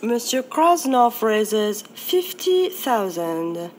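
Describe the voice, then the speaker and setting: A poised card dealer with a French accent speaks slowly and formally. Her voice is high and lively and comes from a distance. The room ambience is faint. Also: a little hiss or hum, exaggerated voice. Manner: slow and formal.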